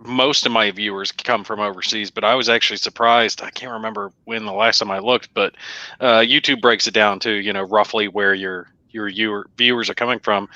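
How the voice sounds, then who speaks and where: A man's voice: fairly low pitch, bright, forceful tone, no room sound. A man from the American South co-hosting a casual interview, on a slightly lo-fi line. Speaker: co-host, interviewer.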